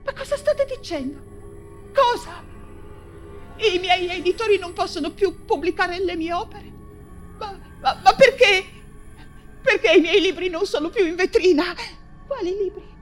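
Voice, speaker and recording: An actress playing an anguished, feverish persecuted writer and mother in an intense theatrical radio monologue, halting and repeating her words. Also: extremely high pitch, soft background music. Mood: bewildered, distressed. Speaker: stage actress.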